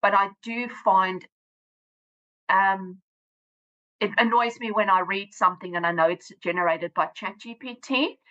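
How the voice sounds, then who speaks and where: Bright-voiced South African woman, lo-fi. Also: medium pitch, unhurried, long pauses. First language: Afrikaans. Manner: plain-spoken, frequent restarts and laughs. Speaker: guest author and teacher. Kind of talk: casual interview chat.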